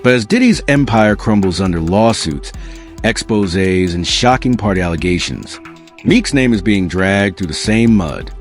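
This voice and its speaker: Deep, lively male gossip narrator over music. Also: moderate pace. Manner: dramatic, punchy storytelling. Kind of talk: scripted gossip video narration. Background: background music.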